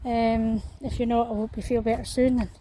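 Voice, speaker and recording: A Scottish woman vlogging as she walks along an outdoor path in a small town. Her voice is croaky, and her talk is full of fillers and restarts. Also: fairly high pitch, flat delivery, normal speed, mellow, slight background noise, low-quality recording. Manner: hesitant, many fillers and restarts. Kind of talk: walking vlog chat.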